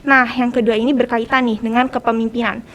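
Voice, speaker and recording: A teenage girl with a fairly high voice speaks very quickly in Jakarta-accented Indonesian. She explains her points conversationally, like a student guest on a podcast. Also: flat delivery, a bit bright.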